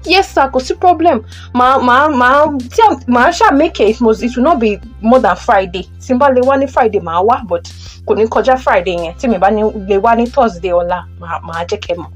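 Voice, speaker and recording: High-voiced, eager Nigerian mother played by an actress. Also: quick pace, breathy edge, soft background music. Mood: eager, deferential. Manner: polite, deferential, eager. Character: Tumi, eager, deferential young mother seeking a flat. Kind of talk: audio drama acting, Yoruba-English phone conversation.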